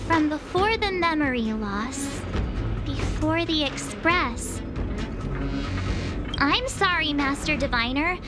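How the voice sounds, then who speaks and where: A woman's voice: high-pitched, animated, takes it slowly, long pauses, loud music behind the voice. A woman voicing a perky, naive young adventurer girl in a video game, with a bubbly, informal manner. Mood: earnest.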